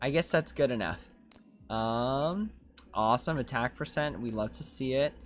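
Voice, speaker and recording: A game streamer doing casual commentary, thinking out loud and chatting in slang while reading viewer comments. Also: mid-range voice, normal speed, faint music behind.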